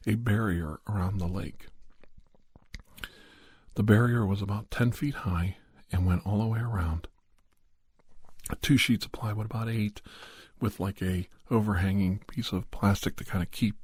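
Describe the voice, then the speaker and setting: A man narrating a first-person horror story in an ASMR style, softly and in halting, unhurried short phrases with casual asides. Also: lowish voice, fairly even intonation, breathy edge, no echo. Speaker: podcast host and storyteller.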